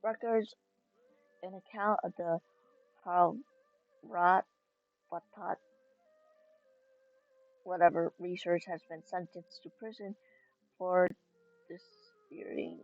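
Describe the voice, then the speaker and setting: A woman streaming a game casually, reading the on-screen text aloud in a halting mutter. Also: lowish voice, fairly even intonation. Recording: dull lo-fi mic, faint game music.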